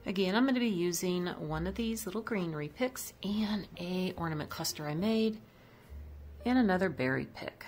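A woman's voice: fairly low pitch, expressive, moderate pace, a little mellow, soft background music. A woman chatting casually as she decorates her home kitchen, thinking aloud about each piece. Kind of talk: casual voice-over demo.

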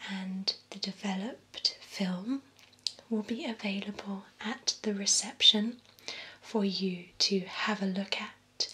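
Slow, whispering woman in soft ASMR role-play. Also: medium pitch. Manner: soft, unhurried, attentive whisper. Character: gentle, courteous female vampire salon attendant. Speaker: ASMR performer playing a vampire spray-tan attendant. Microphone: close binaural microphone. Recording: close binaural whisper with faint hiss.

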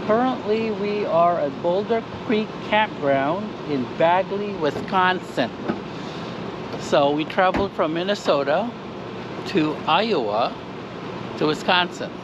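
A man's voice: high voice, lively intonation, slow, muffled recording, background noise. A middle-aged man chatting casually to his action camera as he walks viewers around a campsite. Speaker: vlogger. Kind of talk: casual travel vlog. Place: outdoors at a campground beside a trailer. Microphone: action camera on a short pole at arm's length.

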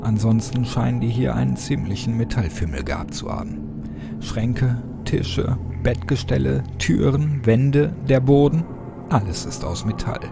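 A man reading a scripted first-person horror story as an audiobook narrator, in a measured, dramatic way. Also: medium pitch, animated, creaky. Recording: music behind the voice.

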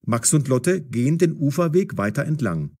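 Male narrator, audio story for learners, clearly articulated, animated melody. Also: mid-range voice, normal speed.

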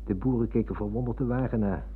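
Husky, polite, earnest male visitor. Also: lowish voice, fast. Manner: deferential, earnest. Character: polite, earnest visitor, former schoolmate seeking old acquaintances. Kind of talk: Dutch radio play acting. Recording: muffled phone-like old radio recording.

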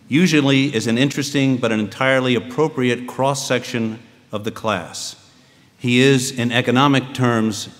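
A middle-aged American man giving a measured, dryly humorous introduction at a formal school ceremony. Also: mid-range voice. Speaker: school official introducing a student speaker. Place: podium on a stage in a large hall. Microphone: podium microphone. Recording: echoey large hall, long reverb.

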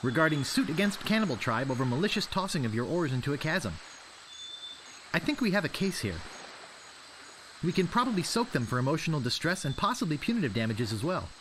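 Lively, eager young pirate over ambience. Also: male voice, a little high, brisk. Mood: reading aloud. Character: young eager wannabe pirate hero, male, naive and wry. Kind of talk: video game voice acting. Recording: clear background ambience noise.

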